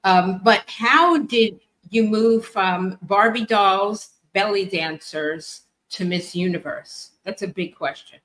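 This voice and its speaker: A woman's voice: mid-range voice, takes it slowly, fairly bright tone. A woman hosting a talk show interview, gushing with effusive praise for her guest.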